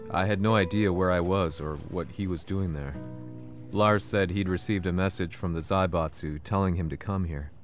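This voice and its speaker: A man's voice: deep voice, close to an everyday voice. A male actor playing an earnest, troubled reporter in a video game, with a grave storytelling manner. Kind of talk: dramatic video game narration and dialogue. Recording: loud music behind the voice.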